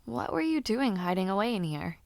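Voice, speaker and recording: A woman's voice: medium pitch, a little fast, creaky, no room sound. A woman playing a teasing, gossipy young noblewoman. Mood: chatty. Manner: casual, teasing and animated, with frequent laughs. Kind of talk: audio roleplay addressed to the listener.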